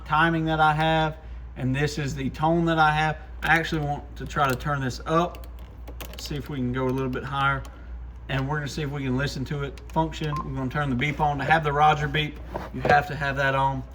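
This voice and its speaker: Casual man with a slightly high voice. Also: flat delivery, brisk, fairly bright tone, slight background noise. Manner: informal, addresses viewers as guys. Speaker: shop owner presenting radio product demos. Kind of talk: casual hands-on product review.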